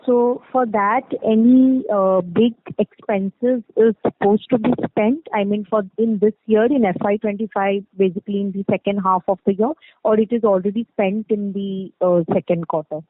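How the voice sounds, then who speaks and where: A woman's voice: medium pitch, fairly even intonation, normal speed. A woman with an Indian accent asking business questions as an analyst on a phone call, in a hesitant, rambling way. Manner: hesitant, rambling phrasing with frequent "you know". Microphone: telephone handset. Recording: tinny narrow phone line.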